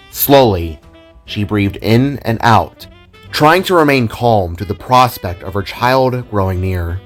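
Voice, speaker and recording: Low voiced guy narrating an audio drama, theatrical, really animated pitch, measured delivery, bit of music behind. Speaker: narrator and reader of all parts.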